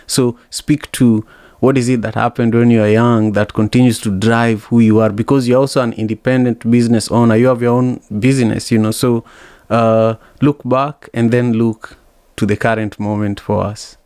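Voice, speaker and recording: Podcast host guy, smooth clear voice, dry close sound, chatty with lots of uhs. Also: medium pitch, level tone, normal speed, no room sound. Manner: warm promotional chatter with frequent fillers. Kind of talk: conversational interview hosting.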